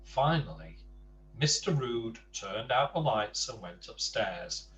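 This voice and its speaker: A man reading a children's storybook aloud in an expressive, emphatic way. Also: mid-range voice, takes it slowly. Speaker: bedtime story reader. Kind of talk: animated children's storybook narration with casual asides. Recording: distant mic with faint background noise.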